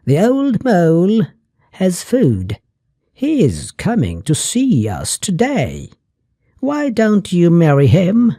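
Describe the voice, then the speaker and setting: A narrator playing a motherly storybook mouse, pitched up high. The mouse talks very slowly, in a practical, coaxing way. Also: huge pitch swings, dark tone, no echo, clean studio sound, exaggerated voice. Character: motherly field mouse, kindly but practical matchmaker.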